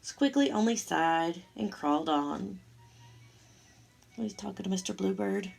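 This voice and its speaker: A woman reading a picture book aloud to young children, with expressive, simple asides as she goes. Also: medium pitch, a little slow, gravelly, soft background music. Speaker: storytime reader for young children.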